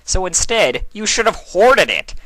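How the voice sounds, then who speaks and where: A man's voice: sits high, very animated, a little slow, bright, forceful tone, no echo, voice pushed up, heavily acted, rough recording. A man voicing a mischievous, smug god of chaos in a storybook reading, with a mocking, theatrical lecturing manner.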